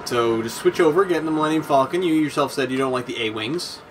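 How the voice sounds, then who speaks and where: A man playing a video game with friends, chatty and prone to excited exclamations. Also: medium pitch, fast, slight background noise. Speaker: let's play player. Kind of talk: casual gaming banter.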